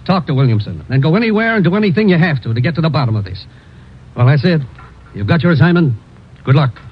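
Bossy radio commissioner, husky n animated, barking orders, dull old recording. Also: male voice, on the high side. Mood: firm, urgent. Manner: brisk, authoritative, clipped. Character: firm, insistent male commissioner giving orders. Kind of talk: 1950s radio drama acting.

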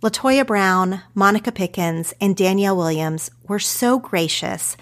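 A woman podcast host in her thirties with an Oklahoma accent. She speaks in an earnest, reflective way at a medium pitch. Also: measured pace. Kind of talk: podcast intro then conversation.

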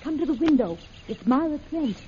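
Earnest, anxious young heroine, high voice, deferential, vintage lo-fi radio serial. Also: female voice, expressive, brisk, dark tone. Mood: confiding. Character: earnest, pleading young woman, loyal and fearful. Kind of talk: 1940s radio serial acting. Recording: muffled, faintly hissy old radio recording.

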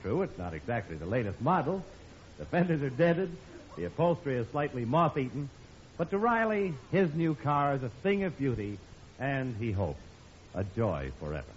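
A man's voice: mid-range voice, big pitch swings, moderate pace, a little hiss or hum. A man announcing and narrating in a theatrical, wry 1950s radio style. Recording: dull old radio broadcast. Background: music.

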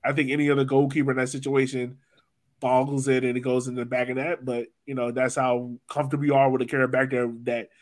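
A man's voice: medium pitch, level tone, fast talker. A man from Virginia with an American accent, hosting a casual soccer podcast in an informal, slangy way. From Virginia, United States. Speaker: sports podcast host.

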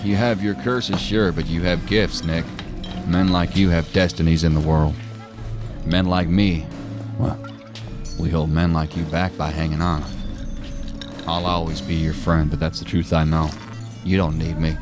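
Audio drama: blunt, self-doubting best friend, low register, plain-spoken, music underneath. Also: male voice, level tone, a little slow, long pauses. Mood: earnest, resigned.

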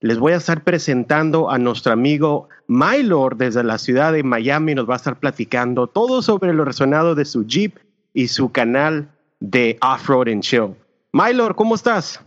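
A man's voice: mid-range voice, animated, normal speed. A man hosting a podcast, introducing his guest and asking questions in an upbeat, formal way. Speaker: podcast host. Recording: dull lo-fi sound.

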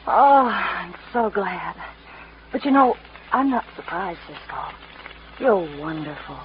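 A woman's voice: fairly low pitch, lively intonation, slow. A woman playing a tender, admiring young townswoman in an old-time radio western. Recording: muffled old radio recording, faint noise.

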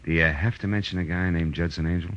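A man's voice: deep voice, steady tone, quick pace, a bit bright. A man playing a hard-boiled, world-weary private eye in a 1940s radio detective drama, dry and laconic. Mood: wry, weary. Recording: dull old radio recording with music.

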